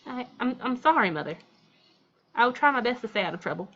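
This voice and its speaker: A woman's voice: fairly high pitch, animated, fast talker, a bit bright. Lady doing a timid little game girl, sorry for everything, hesitant, bit muffled. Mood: meek, sorry. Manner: apologetic, hesitant, submissive. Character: meek, fearful, self-blaming young girl.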